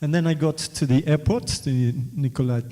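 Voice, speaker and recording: A man's voice: fairly high pitch, moderate pace, dark tone, long reverb tail. A man from West Africa whose first language is Creole, asking questions and reflecting at a book launch. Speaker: audience member and author.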